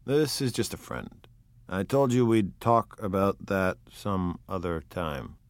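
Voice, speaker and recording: Courteous young gent read by a man: low soft voice, quiet reassurance, dry close mic. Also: animated, slow, no room sound. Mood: reassuring.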